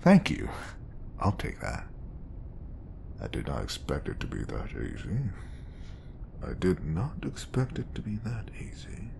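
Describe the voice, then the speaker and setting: A man's voice: wildly swooping pitch, unhurried, pauses often, a little mellow, slight background noise, full character voice. A man playing an obsessive, lovestruck bodyguard in an audio roleplay, coaxing and intimate, passing devotion off as professionalism. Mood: sly, teasing. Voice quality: low, creaky. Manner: coaxing, intimate, slipping into whispers. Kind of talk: audio roleplay acting addressed to the listener.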